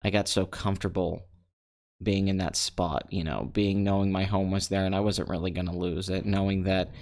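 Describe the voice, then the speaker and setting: A man in his twenties from Pennsylvania, hosting a live stream alone in an empty apartment and talking low and calm into a microphone with a flat, deadpan delivery. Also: moderate pace. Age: 20s. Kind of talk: casual solo live-stream talk. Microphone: desk microphone.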